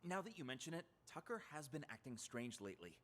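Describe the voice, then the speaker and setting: A man's voice: mid-range voice, sing-song, quick pace, a bit bright. A man playing a gossipy junior policeman, eager to explain, in an audio drama. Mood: informative, curious.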